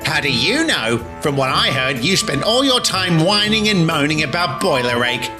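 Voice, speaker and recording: A man's voice: a little high, sing-song, moderate pace, over music. A man voicing a devious, bullying diesel engine in a cartoon dub, sly and mocking. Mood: sly taunting. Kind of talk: cartoon-style character dub.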